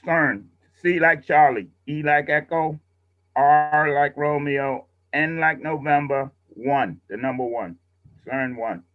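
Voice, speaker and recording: Slow-paced man teaching on a muffled call. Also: on the high side. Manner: patient, step-by-step explaining. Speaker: instructor leading a radio training class. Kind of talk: casual instruction. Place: remote group class on a video call. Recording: muffled, roomy video call audio.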